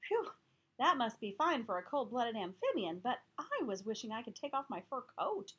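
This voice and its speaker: A woman's voice: fairly high pitch, sing-song, normal speed, fairly bright tone, breathy edge, full character voice. A woman voicing a small, curious male hamster who tells the story in an earnest way. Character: Humphrey, a small chatty male hamster narrator.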